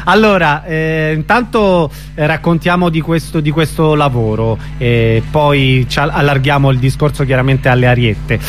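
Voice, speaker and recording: A man's voice: on the high side, moderate pace, few pauses, soft background music. A man hosts an informal radio interview, putting questions to a guest.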